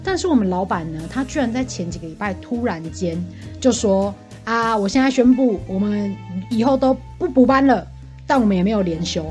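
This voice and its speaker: A Taiwanese woman talks at a fast clip in a casual, animated storytelling style. She sounds talkative and exasperated, as if venting to a friend. Music plays behind her voice. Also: medium pitch. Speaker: podcast co-host venting as a bar customer.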